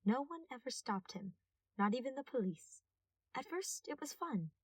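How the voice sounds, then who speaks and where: A woman's voice: high voice, expressive, normal speed, husky, no echo, recorded from a distance. A woman reading scripted fiction aloud as a narrator. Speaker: podfic narrator.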